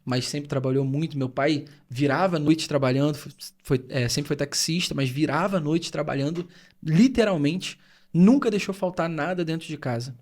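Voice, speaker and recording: Earnest high-voiced man. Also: moderate pace, darkish tone. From Rio de Janeiro state, Brazil. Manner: earnest, thoughtful, explains at length. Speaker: podcast co-host. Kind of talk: reflective podcast conversation.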